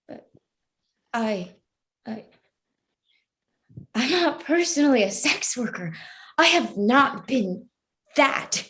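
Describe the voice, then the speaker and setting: A woman's voice: on the high side, very animated, slow, long pauses, fairly bright tone, heavily acted. A young woman acting an anxious, defensive student who rambles and apologises in a naturalistic stage play reading. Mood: pleading. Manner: rambling, apologetic, stammering under pressure.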